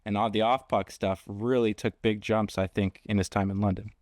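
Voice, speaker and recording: A man interviews a guest about sports in a conversational style. His voice sits fairly low and is recorded dry. He feels his way through questions haltingly, repeating words. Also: flat delivery, a little mellow, no room sound. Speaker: podcast host, interviewer.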